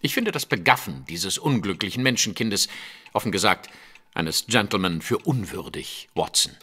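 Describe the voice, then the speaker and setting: An actor playing a proud, fastidious gentleman detective in a radio play, speaking with pompous, precise formality. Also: lowish voice, expressive, slightly rough, exaggerated voice. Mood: disapproving. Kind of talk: audio drama acting.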